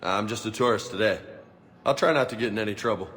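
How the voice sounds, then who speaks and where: A man plays a brisk soldier in a video game. His lowish voice moves quickly in a long, hall-like reverb. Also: fairly bright tone, lightly acted. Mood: casual. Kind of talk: video game cutscene acting.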